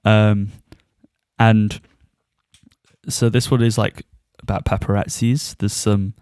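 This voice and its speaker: A man's voice: fairly low pitch, little pitch movement, slow pace, a little mellow, smooth, no echo, clean studio sound. A man co-hosting a podcast, giving a casual review and often chuckling as he talks. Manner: chuckles often while talking.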